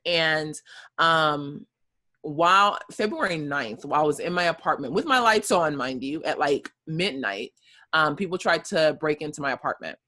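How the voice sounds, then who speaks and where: A woman's voice: sits low, animated, moderate pace, pushed and bright. An American woman in her thirties, a guest telling her story in casual conversation, with many fillers and easy laughs. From United States.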